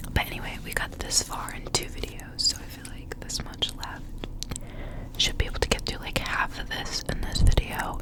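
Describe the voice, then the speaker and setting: Woman doing asmr storytime, all whispered and breathy, laid back and informal. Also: poor audio. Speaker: ASMR creator reading aloud. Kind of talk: whispered ASMR, reading a storybook with casual asides.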